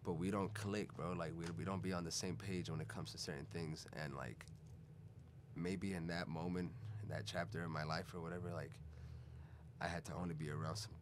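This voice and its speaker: Young male podcast guest with a very deep voice, loose and chatty, over steady room noise. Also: a little fast. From Venezuela, raised in Chicago. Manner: laid-back, rambling, says "bro" and "you know" a lot. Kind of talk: casual conversational storytelling.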